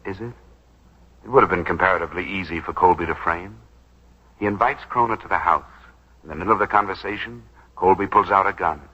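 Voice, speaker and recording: An actor playing a brash, wry lawyer who schemes and smooth-talks, in 1940s radio drama style. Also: male voice, sits low, bright, forceful tone, heavily acted. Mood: sly, insistent. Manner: wry, fast-witted, smooth-talking. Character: brash, wisecracking young lawyer turned schemer, slick and probing. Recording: dull 1940s radio broadcast recording.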